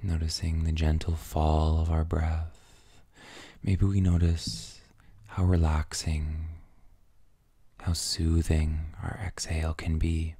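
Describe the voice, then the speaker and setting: Very deep, slow male meditation guide. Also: flat delivery, no room sound. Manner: gentle, breathy, near-whispered, with long pauses. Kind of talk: guided meditation, soft and soothing.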